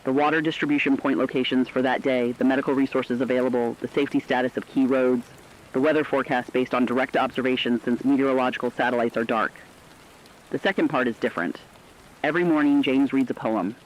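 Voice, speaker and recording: A man playing an earnest radio correspondent, giving sombre, deliberate scripted commentary on a disaster. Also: mid-range voice, fairly even intonation, a little hiss or hum, lightly acted. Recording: dull lo-fi radio-style sound.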